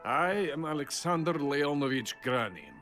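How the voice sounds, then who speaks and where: An actor playing an older, boastful and embittered Soviet weapons scientist in a video game, drunk, with a pompous, grandiose manner. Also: male voice, fairly high pitch, big pitch swings, moderate pace, fairly bright tone, soft background music. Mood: proud, boastful. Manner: pompous, grandiose, self-important. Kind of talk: dramatic video game cutscene acting.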